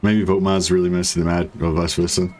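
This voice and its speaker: A man with a deep voice giving casual, rambling commentary while playing a strategy game. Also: fast. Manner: rambling, relaxed, many fillers. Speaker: gaming streamer. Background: faint game music.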